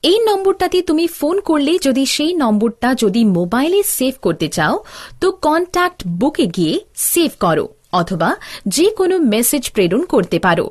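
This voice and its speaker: A woman's voice: high voice, very animated, moderate pace. A woman narrating a scripted tutorial as an instructor, explaining in a didactic, step-by-step way.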